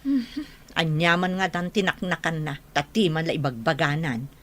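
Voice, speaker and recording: A woman's voice: sits low, normal speed. A woman playing a middle-aged mother in a radio drama, chiding and exasperated with her daughter. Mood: questioning. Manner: chiding, expressive. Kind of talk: Filipino radio drama acting.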